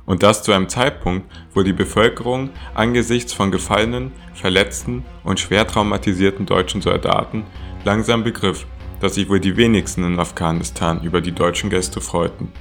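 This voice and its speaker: A man's voice: deep voice, steady tone, normal speed, faint music behind. A man reading scripted documentary narration.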